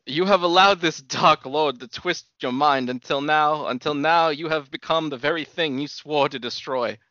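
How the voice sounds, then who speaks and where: A woman's voice: sits low, normal speed, fairly bright tone, dry recording, muffled recording. A woman playing a stern, grieving male warrior mentor in an amateur drama, solemn and theatrical.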